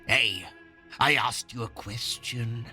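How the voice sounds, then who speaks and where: A man's voice: mid-range voice, bright, forceful tone, soft background music. A man playing a sadistic, taunting serial killer who collects victims as art, in a horror audio drama. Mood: mocking. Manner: taunting, menacing, unhurried.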